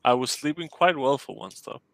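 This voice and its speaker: A man's voice: medium pitch, lively intonation, a little fast, creaky, a bit bright, no room sound. A man with a Danish accent chatting over a call, hesitant and giggly, often restarting his sentences. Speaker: client commissioning a map. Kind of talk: casual chat.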